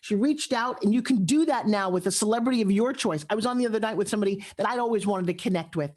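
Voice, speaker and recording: A woman's voice: medium pitch, fast, darkish tone. A woman hosting a live audio chat room, speaking effusively and gushing over her guests. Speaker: host.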